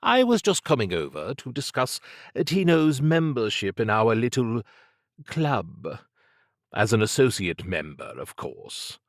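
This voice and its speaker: A man's voice: mid-range voice, sing-song, measured pace, dry recording, studio quality, pitched above the performer's own voice. A man voicing a slim, androgynous occult schemer with an upper-class English accent and an arch, urbane, needling manner. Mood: smooth, smug.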